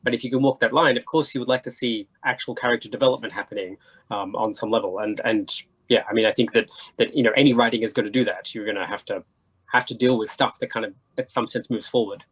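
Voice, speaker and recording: Fast-talking, slightly husky man on a panel. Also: fairly low pitch, steady tone, a bit bright. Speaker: convention panelist. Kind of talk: animated panel discussion.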